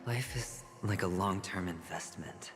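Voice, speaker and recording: An actor playing a cocky, smooth young gambler held prisoner, bargaining in a theatrical, taunting way in a video game drama. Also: male voice, sits low. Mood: reflective, confident. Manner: theatrical, taunting, drawn-out phrases. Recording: long hall reverb, music underneath.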